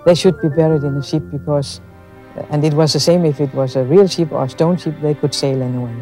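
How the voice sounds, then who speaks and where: Mellow woman guide. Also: deep voice, fairly even intonation, brisk, faint music behind. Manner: explanatory, storytelling. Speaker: local archaeology guide. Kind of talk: spoken explanation to visitors.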